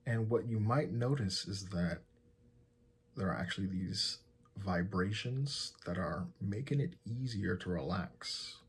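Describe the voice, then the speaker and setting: Low, very husky man guiding meditation slowly. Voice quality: soft, breathy, husky. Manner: calm, gentle instruction with long pauses. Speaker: meditation guide. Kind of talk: guided meditation, soft and slow.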